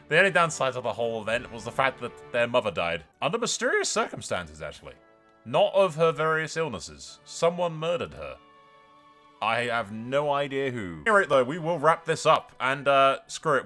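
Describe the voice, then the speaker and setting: A man hosts casual game commentary in a lively, swinging voice with a bright, forceful edge. He is informal and wry, swearing freely as he jokes along. Faint music plays underneath. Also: medium pitch. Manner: informal, sweary, wryly joking. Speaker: video game streamer, host.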